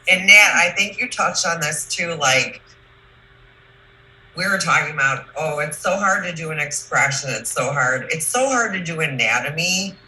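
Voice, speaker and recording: A woman's voice: deep voice, expressive, moderate pace, bright, forceful tone. A woman hosting a casual chat over a video call, speaking emphatically and effusively. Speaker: show host. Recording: echoey, noisy video call.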